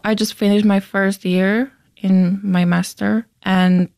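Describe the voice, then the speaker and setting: A woman's voice: mid-range voice, little pitch movement, slow, creaky, a little mellow, dry recording, studio quality. A woman in her twenties from Slovakia with a Slovak accent, explaining things as a student interviewee. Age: 20s.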